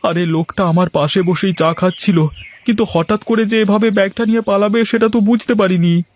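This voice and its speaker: A man's voice: high-pitched, fairly even intonation, fairly quick, slight background noise, natural voice. A man playing an anxious, luckless village man in a cartoon, speaking in a plaintive, lamenting way. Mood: worried. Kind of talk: Bengali cartoon dialogue dub.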